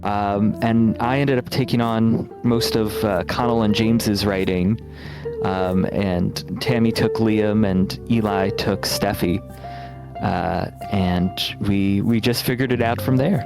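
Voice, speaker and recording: A man speaking as a game developer in a casual interview, explaining things in a thoughtful, measured way. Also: on the low side, fairly even intonation. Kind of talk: casual interview answers over a game stream. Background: guitar music.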